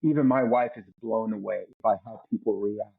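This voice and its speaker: A man in his forties with a husky, slightly breathy voice tells a personal story as a podcast guest. He speaks earnestly and reflectively, often repeating a word while he finds the next thought. The recording sounds dull and lo-fi. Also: lowish voice, normal speed. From United States. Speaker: podcast guest, former Navy SEAL.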